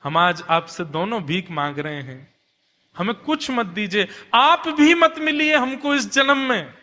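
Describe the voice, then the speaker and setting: Man playing a devout, earnest old householder, high-voiced. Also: expressive, unhurried, sharp tone, heavily acted. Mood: pleading. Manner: earnest, beseeching, repetitive. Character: devout, tender old householder, childless and pleading. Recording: long hall reverb.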